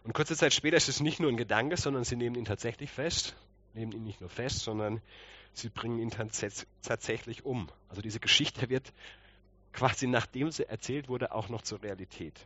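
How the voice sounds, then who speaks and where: A man's voice: medium pitch, normal speed, a bit bright, no echo, muffled recording. A man with a slight cold, preaching conversationally and explaining with rhetorical questions. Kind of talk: conversational sermon.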